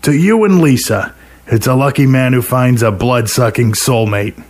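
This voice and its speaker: A man's voice: medium pitch, normal speed, a little mellow, pitched above the performer's own voice, natural voice. A man voicing a cocky young pool-playing buddy in an audio short story, slangy and needling. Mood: breezy, cocky.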